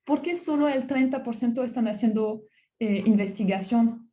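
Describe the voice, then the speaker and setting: A woman's voice: on the high side, normal speed, mellow. A middle-aged woman from France with a French accent gives a conference talk as a university researcher, with many fillers and restarts.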